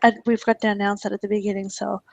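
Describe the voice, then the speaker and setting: A woman co-hosting a training webinar who is losing her voice, chatting casually and adding quick asides. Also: medium pitch, flat delivery, vocal fry, no room sound, muffled recording. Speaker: webinar co-host.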